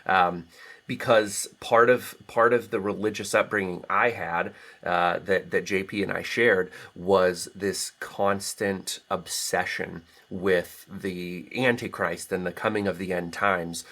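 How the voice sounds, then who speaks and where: A man's voice: sits low, fairly even intonation, slow, a bit bright. A middle-aged American man talking casually and reflectively as a guest author in an interview.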